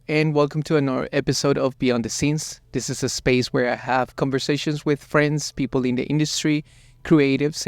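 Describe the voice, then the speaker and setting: Casting note: male host from Colombia, smooth clear voice, even and flat, dry studio sound. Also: a little high, no echo. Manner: measured, unhurried questions. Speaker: podcast host. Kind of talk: interview hosting.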